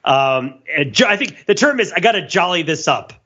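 A man with a fairly high, bright voice and very lively intonation. He co-hosts a casual comedy podcast, explaining things with emphasis and enthusiasm. The recording is a little dull and lo-fi. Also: moderate pace. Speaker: podcast co-host. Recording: slightly dull, lo-fi microphone sound.

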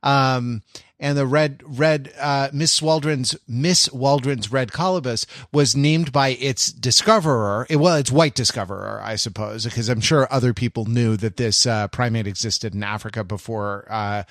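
Halting, bookish man, close dry sound. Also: mid-range voice, a bit bright, no echo. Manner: halting, searching, bookish digressions. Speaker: podcast co-host. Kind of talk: casual intellectual discussion.

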